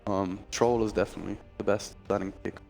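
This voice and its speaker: A man's voice: on the low side, fairly even intonation, fast talker, gravelly, a little mellow, faint music behind. Chatty Irish man casting a draft. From Ireland. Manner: chatty, joking, stumbles over words. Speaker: esports caster. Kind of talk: casual draft commentary.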